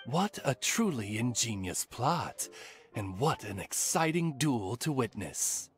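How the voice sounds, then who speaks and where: Courtly, lively-pitched raven familiar. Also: male voice, takes it slowly, soft background music. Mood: courtly, deferential. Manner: formal, courtly servant diction. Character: dignified, loyal talking raven familiar, butler-like. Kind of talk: anime video game acting.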